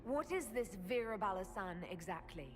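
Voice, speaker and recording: An actress playing a resolute female leader in a fantasy video game, speaking in a measured, direct, diplomatic way. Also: mid-range voice, lively intonation, lightly acted. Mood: composed, firm. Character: female Inquisition leader, composed, resolute young commander. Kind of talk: dramatic video game dialogue. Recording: loud background music.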